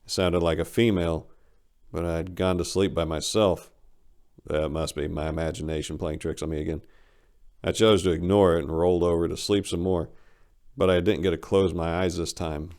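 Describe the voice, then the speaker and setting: A man giving an expressive, theatrical reading of a first-person short story as its narrator. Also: low voice, moderate pace.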